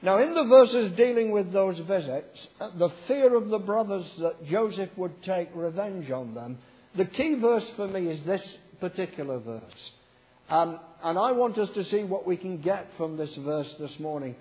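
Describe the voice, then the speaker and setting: A middle-aged man, croaky from a cold, preaching a chatty, joking sermon to a congregation. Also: sits high, lively intonation, normal speed. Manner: chatty, joking preacher leading the congregation in reading a verse aloud. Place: church. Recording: dull sound with long hall reverb.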